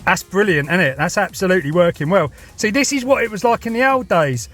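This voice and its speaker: A man's voice: high-pitched, expressive, fast, music in the background. A man with a southern English accent chatting casually and matily as a CB radio operator, recorded inside a vehicle. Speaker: CB radio operator filming a vlog.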